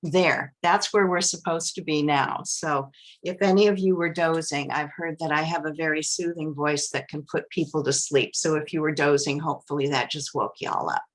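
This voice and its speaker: A woman's voice: sits low, normal speed, poor audio. Chatty woman presenting a webinar. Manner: relaxed, chatty, with fillers.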